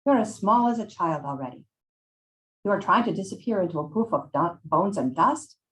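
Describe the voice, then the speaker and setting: A woman's voice: mid-range voice, expressive, normal speed, lightly acted, low-quality recording. A woman voicing a loving, snarky old Italian shopkeeper who fusses and bosses in an Italian accent, read from a novel. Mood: bossy. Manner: bossy, mothering, exclamatory.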